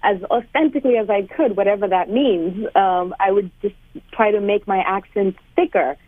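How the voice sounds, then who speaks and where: A woman in her thirties from Malawi, a guest author telling her story in a radio interview with lively, laughing energy. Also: mid-range voice, moderate pace, dry recording. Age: 30s. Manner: animated storyteller, laughs often. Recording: tinny phone line.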